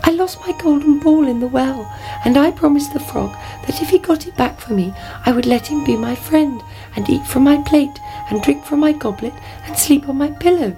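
A woman's voice: high voice, normal speed, hardly any pauses, mellow, soft background music, voice pushed up, low-quality recording. A woman voicing a young, anxious princess in a storybook, with a plaintive, pleading manner. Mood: frightened, pleading. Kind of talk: storybook character reading.

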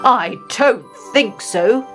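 A woman voicing a big, bold billy goat in a children's story, growling slowly and defiantly. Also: fairly high pitch, lively intonation, over music. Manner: slow, emphatic, defiant.

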